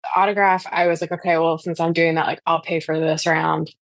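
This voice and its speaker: A woman chatting casually as a podcast guest, telling a personal story in an animated way and acting out what was said. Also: sits low, a little fast, dry recording. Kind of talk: casual chat, anecdote.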